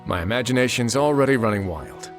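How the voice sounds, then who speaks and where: A man's voice: medium pitch, lively intonation, quick pace, over music, natural voice. A man voicing a confident, wry male prison administrator in a video game, dry, laid-back and teasing. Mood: teasing, amused.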